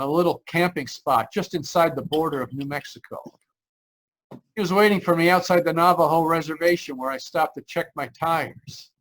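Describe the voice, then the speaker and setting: An actor playing a frightened, desperate young driver in an old-style radio drama, halting and repeating his phrases. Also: on the high side, fairly bright tone, slight background noise, close to an everyday voice, poor audio. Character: frightened, desperate young man driving cross-country, haunted. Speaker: actor narrating and playing the lead. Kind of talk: 1940s radio drama acting. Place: remote live performance over video call.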